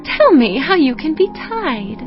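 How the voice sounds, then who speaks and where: A woman's voice: sits high, big pitch swings, measured pace. An actress playing a seductive, nagging woman in a dramatized scripture recording, insistent, coaxing and dramatic. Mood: wounded, nagging. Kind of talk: dramatized scripture audio acting. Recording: dull band-limited audio with music.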